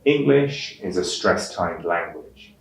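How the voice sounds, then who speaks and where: A man teaching an English test-prep lesson in a measured, clearly enunciated way, stressing key words. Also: deep voice, animated, a little hiss or hum. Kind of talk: instructional lesson narration with read-aloud examples. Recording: roomy echo, distant microphone.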